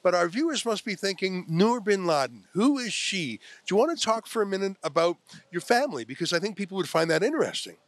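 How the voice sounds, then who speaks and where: A man's voice: high-pitched, big pitch swings, fast talker, hardly any pauses, no echo. A man interviewing a guest at a conference, chatty and affirming, steering with leading questions. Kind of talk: conversational interview. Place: conference venue.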